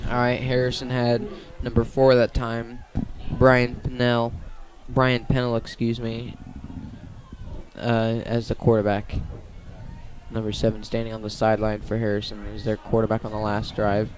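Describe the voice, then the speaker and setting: An American man gives live radio play-by-play of a football game. His voice sits at a medium pitch with extremely little melodic movement. He delivers each play in halting pieces, correcting himself as he goes. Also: completely flat delivery, a little mellow, low-quality recording. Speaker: play-by-play commentator.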